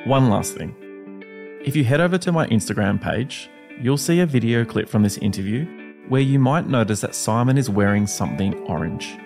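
An Australian man, the podcast host, reading a steady, upbeat episode introduction. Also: medium pitch, normal speed, creaky, music in the background.

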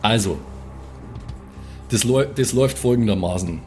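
Halting male tabletop player over music. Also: on the low side, a little mellow. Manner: halting, thinking aloud. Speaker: player in a tabletop role-playing game. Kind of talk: improvised casual role-play, describing his character's actions.

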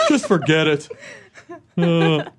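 A man's voice: a little high, animated, drawn-out words, very noisy. A man hosting a casual podcast interview, asking questions and teasing with jokes, no regional accent. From Memphis, Tennessee. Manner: joking, teasing. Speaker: podcast host.

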